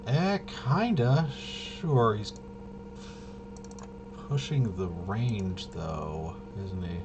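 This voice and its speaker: Guy with lowish voice thinking out loud playing a tabletop rpg, unhurried, kinda unsure, noisy recording. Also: animated, dull audio. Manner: hesitant, muttering to himself while checking rules. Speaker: solo tabletop RPG player demonstrating gameplay. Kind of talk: casual thinking-aloud gameplay narration.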